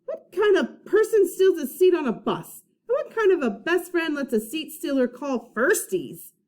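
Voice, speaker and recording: A woman reads a children's storybook aloud like a first-grade teacher. Her voice is on the high side and very lively in pitch, with dramatic emphasis and questions aimed at young listeners. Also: moderate pace.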